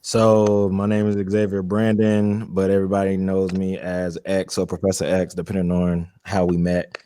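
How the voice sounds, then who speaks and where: A man in his thirties with a deep voice, calmly telling his background as an interview guest. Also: normal speed, clear, resonant tone, no echo, studio quality. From Brooklyn, New York, raised in Charlotte, North Carolina.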